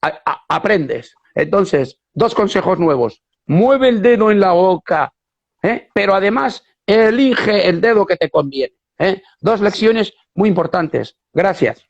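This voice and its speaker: A man's voice: high-pitched, expressive, slow pace, creaky. Emphatic man hosting an interview. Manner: enthusiastic, emphatic and repetitive. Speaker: host and whistling teacher. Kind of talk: casual interview over a live video stream. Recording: lo-fi online video call audio.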